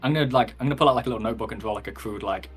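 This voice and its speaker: A man's voice: on the low side, rapid-fire, husky, soft background music. A man chatting casually as a player in a tabletop role-playing game. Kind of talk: casual role-play chat.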